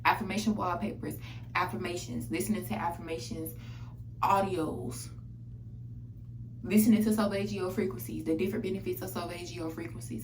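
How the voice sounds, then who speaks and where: A young woman giving a casual motivational monologue, blunt, profane and emphatic, repeating phrases for effect. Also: lowish voice. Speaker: self-help vlogger. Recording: echoey, distant mic, background noise.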